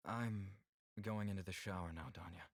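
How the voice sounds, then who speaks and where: Game role for a male actor: composed male alien, very deep, formal, measured delivery, dry studio sound. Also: no echo, natural voice. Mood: polite, reserved. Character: reserved, candid male alien lover from a conservative clan. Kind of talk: visual novel character acting.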